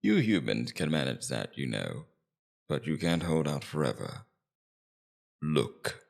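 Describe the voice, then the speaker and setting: Very deep, gravelly demon king, acted by a man. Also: big pitch swings, exaggerated voice. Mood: hypnotic coaxing. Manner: slow, deliberate, coaxing, condescending. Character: suave, menacing demon king, patient and domineering captor. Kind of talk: ASMR roleplay character acting.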